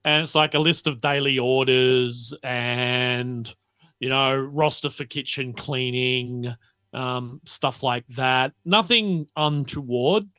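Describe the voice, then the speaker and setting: A man's voice: medium pitch, slow pace, bright, forceful tone, clear, resonant tone, dry recording. A man narrating a tabletop roleplaying game as game master, hesitantly thinking aloud as he goes.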